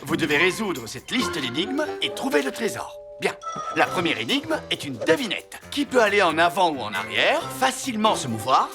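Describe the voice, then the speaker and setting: A friendly cartoon grown-up talks to children in a mid-pitched voice that swings up and down a lot. Music plays clearly behind. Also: wildly swooping pitch, normal speed. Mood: encouraging. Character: friendly adult explaining a game to children. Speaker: game leader for children. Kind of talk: animated cartoon dialogue.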